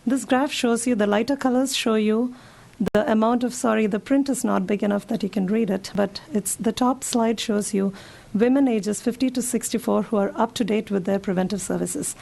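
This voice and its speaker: Hoarse, gravelly woman physician. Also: a little high, moderate pace, a little mellow. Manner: conversational, talks the audience through slides. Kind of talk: public health talk.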